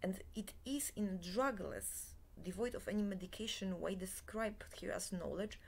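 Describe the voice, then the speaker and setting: A woman in her forties, a Polish native speaker, giving a halting, half-whispered talk into a laptop webcam in a small bed-and-breakfast room. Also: mid-range voice, vocal fry. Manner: halting, many filler pauses, often half-whispered. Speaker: self-help lecturer. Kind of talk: slow unscripted monologue to webcam.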